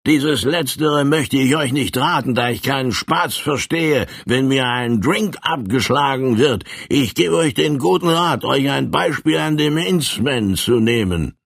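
A loud, swaggering colonel bully pitched well above a normal speaking voice, as a male narrator puts him on, blustering and boastful. He swings his pitch wildly and barks his lines with a slightly husky edge, like a hot-headed villain in a spoken storybook. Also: lively intonation, a little slow, few pauses, dry recording, clean studio sound, exaggerated voice. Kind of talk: audiobook character voice.

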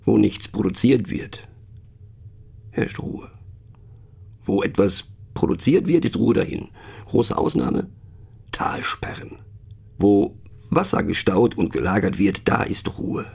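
Radio-play narrator: low-pitched gravelly eccentric, wry and rambling, conspiratorial, halting delivery. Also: male voice, expressive, darkish tone, full character voice. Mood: musing, hushed. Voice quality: gravelly, husky. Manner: conspiratorial, halting, often dropping to a near whisper. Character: musing, mocking, eccentric male narrator, rambling and self-doubting. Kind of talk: satirical radio monologue addressing the listener.

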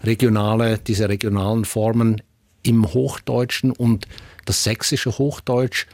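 A man's voice: fairly low pitch, flat delivery, measured pace, darkish tone, dry recording. A man from Switzerland with a Swiss German accent, a dialect expert explaining at length, thoughtful and hesitant with many fillers and restarts. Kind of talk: explanatory talk-show conversation.

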